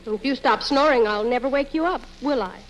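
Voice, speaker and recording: An American actress playing a nagging, scatterbrained wife in an old-time radio comedy, insistent and easily wounded. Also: female voice, on the high side, fairly quick, lightly acted. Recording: noisy vintage radio recording.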